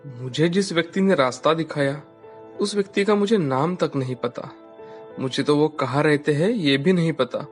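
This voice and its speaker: A woman's voice: low voice, moderate pace, faint music behind, voice pushed down, natural voice. A woman voicing a polite, earnest and humble young village man in a moral storybook tale. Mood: wistful. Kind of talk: moral storybook character dialogue.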